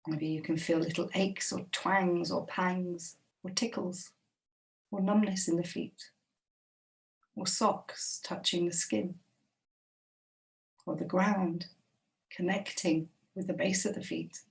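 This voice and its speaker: A woman guiding a body scan meditation, speaking slowly and gently with long, unhurried pauses. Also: fairly low pitch, steady tone, gravelly, distant mic, poor audio. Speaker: meditation guide.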